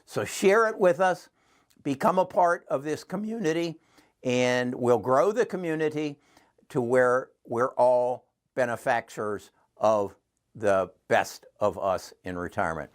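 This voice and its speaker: A man's voice: mid-range voice, unhurried. A man in his seventies hosting his own channel, talking earnestly and rambling with frequent restarts. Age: 70s. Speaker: channel host. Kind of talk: casual talk to camera.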